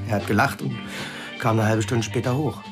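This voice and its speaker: An easygoing neighbour played by an actor in a naturalistic radio drama. The talk is casual and a little halting, as memories come back, with music clearly playing behind. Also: mid-range voice, fairly even intonation, close to an everyday voice. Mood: fond, casual. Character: easygoing, chatty neighbour recalling a party.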